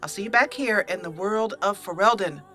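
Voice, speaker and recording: A woman narrates a roleplay as a gaming host. Her voice is bright and mid-pitched, with drawn-out emphasis and faint music underneath. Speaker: let's play host. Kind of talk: roleplay storytelling over gameplay. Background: faint game music.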